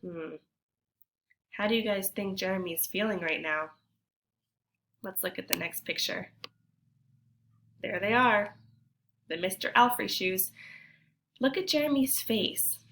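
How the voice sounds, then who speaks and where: A woman teacher reading a picture book aloud to children, expressive and deliberate, pausing to put questions to her young listeners. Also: mid-range voice, creaky, slight background noise. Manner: addresses children directly, deliberate and animated.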